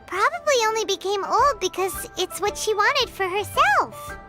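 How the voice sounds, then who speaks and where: A woman's voice: extremely high pitch, big pitch swings, normal speed. An actress playing a tiny, chatty, chirpy female sprite companion who refers to herself by name. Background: game music.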